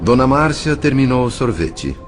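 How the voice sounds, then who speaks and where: A man's voice: medium pitch, big pitch swings, normal speed, a little hiss or hum. A man narrating an audio drama from a script in a formal, literary manner.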